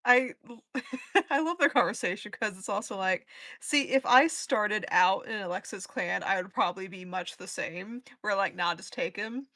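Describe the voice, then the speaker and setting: Female panelist, bright tone, amused informal delivery, dry intimate recording. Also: medium pitch, moderate pace, no echo. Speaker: podcast live event panelist. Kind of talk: casual chat.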